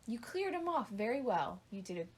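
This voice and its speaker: A woman co-hosting a podcast with her husband, laughing often and gently teasing and correcting him. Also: fairly high pitch, very animated, normal speed, slightly rough. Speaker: co-host, the host's wife. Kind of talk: casual chat with her husband.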